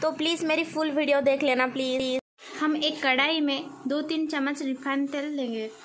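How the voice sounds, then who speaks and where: Cooking host, woman, high voice, plain step-by-step delivery, sizzling pan behind. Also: steady tone, normal speed. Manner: plain step-by-step instruction with hesitations. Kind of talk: home cooking video narration. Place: home kitchen. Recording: frying and sizzling noise behind voice.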